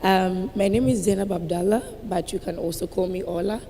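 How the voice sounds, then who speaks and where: A woman, raspy from a cold, introducing herself on a panel with confident questions to the audience. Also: a little fast, mellow. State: has a cold. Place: conference hall with audience. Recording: reverberant hall, faint rumble.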